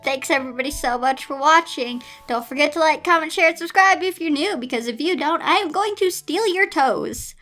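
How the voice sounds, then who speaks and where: High-pitched, bright-voiced woman. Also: brisk, few pauses. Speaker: host reading and reviewing fan fiction. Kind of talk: reading a story aloud with casual commentary. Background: music.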